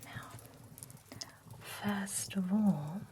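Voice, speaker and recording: A woman voicing a gentle female spa attendant for monsters, in a soft, teasing, whispered ASMR role-play. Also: medium pitch, extremely slow, slight background noise. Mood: soothing, teasing. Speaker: ASMR creator.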